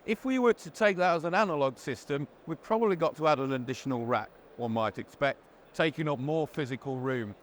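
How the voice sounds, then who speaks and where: A man with a fairly high-pitched voice hosts a product interview. He explains things in a measured, orderly manner. A light wash of background noise from a trade show floor sits behind him. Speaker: presenter and interviewer. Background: faint ambient noise.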